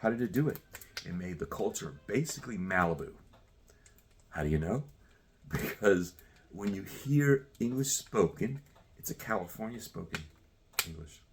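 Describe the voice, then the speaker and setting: A man's voice: sits low, expressive, moderate pace, pauses often, slight background noise. A man in his sixties delivering a profane, confrontational rant straight at the listener. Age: 60s. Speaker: commentator. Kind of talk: ranting monologue.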